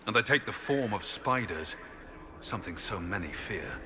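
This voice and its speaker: A grim, steadfast warrior from a video game, played by a man. His voice is fairly low, carried in long reverb with noisy ambience. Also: animated, moderate pace, natural voice. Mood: wary. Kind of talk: video game dialogue acting.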